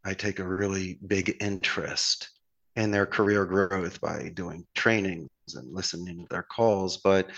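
Philly guy, lowish voice, chatty and encouraging, kinda rambly. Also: lo-fi sound. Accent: Philadelphia. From Philadelphia area, United States. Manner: animated, folksy, encouraging, rambling with restarts. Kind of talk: casual chat, giving advice.

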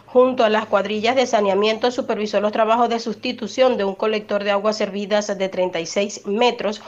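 A woman news reporter reading a scripted report in a steady, formal way. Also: on the high side, lo-fi sound.

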